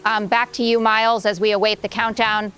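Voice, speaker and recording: Audio drama: actress as composed on-location correspondent, medium pitch, earnest descriptive news delivery, music bed. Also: female voice, level tone, a little fast, bright, forceful tone, close to an everyday voice. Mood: composed, expectant. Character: earnest female correspondent reporting live on location. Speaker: field news reporter. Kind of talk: scripted live news report.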